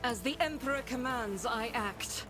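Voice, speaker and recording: A woman's voice: fairly high pitch, moderate pace, lightly acted. An actress playing a stern, fervent warrior woman of the faith in a game, declaiming righteously. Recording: game audio under loud music.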